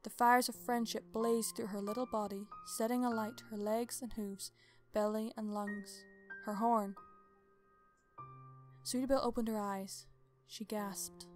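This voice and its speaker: A woman reading a scripted story aloud in a dramatic bedtime-story style. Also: mid-range voice. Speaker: story narrator.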